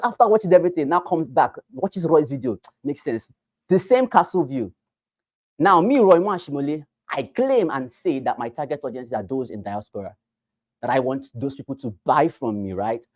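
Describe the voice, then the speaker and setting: A man's voice: fairly high pitch, breathy edge, no echo. Emphatic Nigerian man teaching realtors. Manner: emphatic, repeats points, asks rhetorical questions; swings between loud bursts and quiet asides. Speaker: real estate trainer. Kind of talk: classroom training talk.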